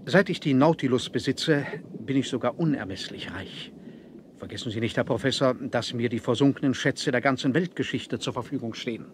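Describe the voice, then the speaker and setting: A man acting as a mysterious, proud submarine captain in a vintage radio play. He speaks at a middle pitch, with formal courtesy and complete self-assurance. The old vinyl transfer carries clear noise. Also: fairly quick, natural voice. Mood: proud, assured. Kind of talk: 1970s German adventure radio play acting.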